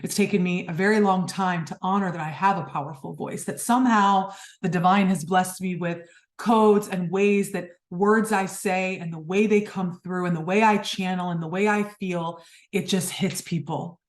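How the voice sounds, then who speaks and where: Earnest spiritual woman host, lowish voice. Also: fairly even intonation, normal speed, echoey room. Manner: earnest, emphatic, repeats phrases for effect. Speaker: podcast host. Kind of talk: spiritual monologue.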